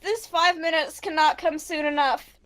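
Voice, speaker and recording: A woman's voice: high-pitched, fairly even intonation, moderate pace, very noisy. A woman improvising live dub lines, riffing absurdly and sounding exasperated. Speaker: fandub voice actor. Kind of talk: improvised live dubbing banter.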